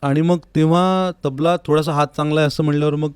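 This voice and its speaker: A man from Manmad in Maharashtra with a Maharashtrian accent, answering interview questions conversationally as a vocalist guest, reflective and often pausing mid-phrase in a studio. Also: on the high side, fairly even intonation, smooth, dry recording. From Manmad near Nashik, Maharashtra, India. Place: recording studio.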